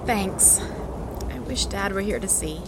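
Earnest young daughter over noisy background. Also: female voice, mid-range voice, expressive, a little slow. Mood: bittersweet. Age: young adult. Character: caring, earnest young daughter, a space mission scientist. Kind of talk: audio drama acting.

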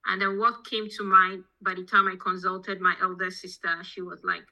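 Nigerian woman with a very bright, forceful voice. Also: fairly low pitch, flat delivery, normal speed, poor audio. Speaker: podcast guest, petroleum engineering professor. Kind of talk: conversational interview answers, telling own background.

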